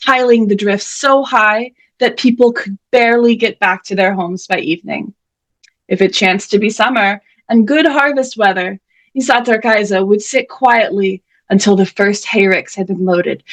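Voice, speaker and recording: Woman as ghostly female author, high voice, measured theatrical storybook reading. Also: low-quality recording. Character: ghost of a dead female author, solemnly reading her tale. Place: indoors, on a video call.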